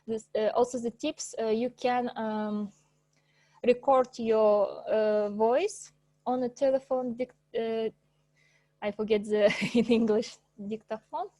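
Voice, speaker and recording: A woman whose first language is Russian gives an informal presentation over a video call, pausing often with fillers and restarts. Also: fairly high pitch, fairly even intonation, mellow, dry recording. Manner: hesitant, many fillers and restarts, laughs often. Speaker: presenter at an English club.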